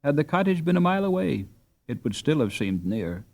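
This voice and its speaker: A man reading a story aloud as an audiobook narrator, in an expressive, dramatic storytelling manner. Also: fairly high pitch, fairly quick, creaky.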